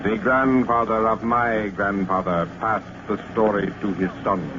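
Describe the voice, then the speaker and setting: A man's voice: on the low side, fairly even intonation, lightly acted. An actor playing a solemn, ominous West Indian man telling island legends in grave, formal words, in old radio drama style. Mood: solemn storytelling. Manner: slow-building, grave storytelling with formal wording. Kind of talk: 1940s radio drama acting. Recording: noisy, muffled old radio recording.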